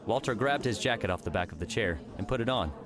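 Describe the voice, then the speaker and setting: A man's voice: fairly low pitch, animated, quick pace, a crowd in the background. A man reading scripted story narration as the narrator of an audiobook.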